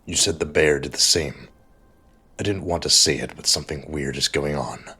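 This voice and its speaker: A man voicing a gruff, battle-weary, protective mentor in an audiobook, with a growling, blunt delivery and a gravelly rasp. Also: a bass voice, normal speed, bright, forceful tone, exaggerated voice. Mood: blunt, grudging. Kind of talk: audiobook character dialogue.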